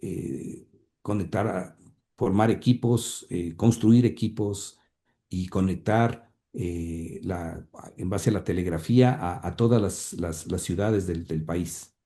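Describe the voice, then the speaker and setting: A man's voice: sits low, takes it slowly, mellow. A man from Ecuador, recounting his grandfather's life as an interview guest, hesitant with many fillers and restarts. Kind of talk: conversational storytelling.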